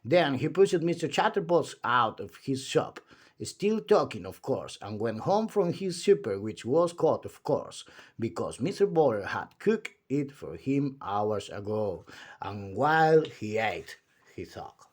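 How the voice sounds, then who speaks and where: Male storybook reader, highly varied intonation, theatrical delivery for young listeners. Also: unhurried. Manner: animated, theatrical. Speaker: storyteller reading aloud. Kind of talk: expressive children's storybook read-aloud.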